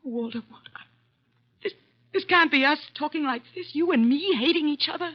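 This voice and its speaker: An actress playing an embittered, emotional secretary in a vintage radio drama, with an intense, melodramatic delivery. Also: female voice, high-pitched, normal speed, natural voice. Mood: hurt, seething. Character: emotional, embittered woman secretary with wounded pride. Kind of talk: 1940s-50s radio drama acting. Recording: dull vintage radio recording.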